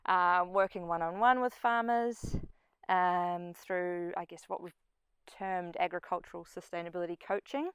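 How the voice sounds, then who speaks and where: A middle-aged woman with a New Zealand accent shares an informal personal talk. Her voice sits a little low and is strikingly smooth and crisp. The sound is dry, with very little room in it. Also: no room sound. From New Zealand and Papua New Guinea. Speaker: speaker at a community event, farm sustainability coach.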